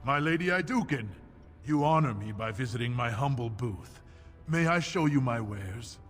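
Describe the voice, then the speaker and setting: A man's voice: mid-range voice, lively intonation, moderate pace, close to an everyday voice. An actor playing an obsequious male dwarf market merchant in a video game, servile and ingratiating, in a reverberant space. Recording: reverberant hall, music underneath.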